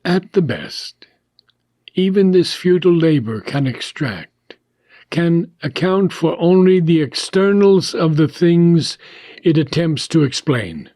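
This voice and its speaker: A man reading a philosophical text aloud as an audiobook, in a deliberate, measured manner with short phrases and frequent pauses. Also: fairly high pitch, animated, warm tone, no room sound, studio quality. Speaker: audiobook reader.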